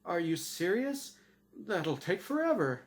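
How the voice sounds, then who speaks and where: A man plays a meek storybook fellow, lost and anxious. His voice sits high and moves around a lot. He questions and protests plaintively. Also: big pitch swings, a little slow. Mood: plaintive, protesting. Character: meek, bewildered, anxious recently dead man. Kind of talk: storybook character voice in dramatic reading.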